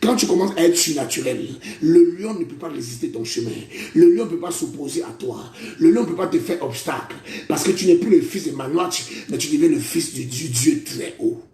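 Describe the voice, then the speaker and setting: A man's voice: fairly high pitch, animated, fairly quick, hardly any pauses, mellow. A man preaching a prophetic sermon, declaiming in repeated phrases and calling listeners brother. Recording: echoey room.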